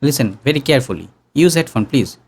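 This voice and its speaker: A young man teaching sound engineering in an online workshop, talking casually and humming low tones to demonstrate, close to a studio mic in a small room. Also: animated, fast talker, a little mellow, breathy edge. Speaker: audio engineering instructor. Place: small room with green backdrop. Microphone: studio condenser mic on boom arm.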